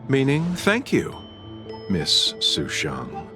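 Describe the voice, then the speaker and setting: Lilting, courteous traveller. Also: male voice, low voice, wildly swooping pitch, slow pace, heavily acted. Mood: courteous, grateful. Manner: eloquent, polite, storytelling. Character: courteous, smooth-talking male traveller with flowery speech. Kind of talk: video game cutscene acting. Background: background music.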